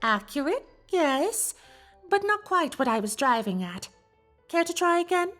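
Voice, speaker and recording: Posh-mannered cartoon unicorn gal, high voice, super swoopy and dramatic, teasing gossip. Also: female voice, very animated, fairly bright tone, faint music behind, full character voice. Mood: knowing, teasing. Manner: affected, genteel, theatrical delivery with drawn-out words. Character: elegant, teasing female unicorn socialite, theatrical and gossipy. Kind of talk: fan fiction audio drama acting.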